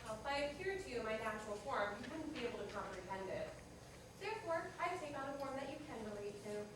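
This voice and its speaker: A woman playing a calm, confident, matter-of-fact God in woman's form in a live staged play reading. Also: a little high, fast talker, close to an everyday voice. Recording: noisy, very echoey hall, distant mic.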